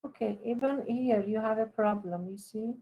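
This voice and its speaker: A woman teacher giving halting, corrective and instructive one-to-one feedback on a student's writing. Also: fairly high pitch, dull audio.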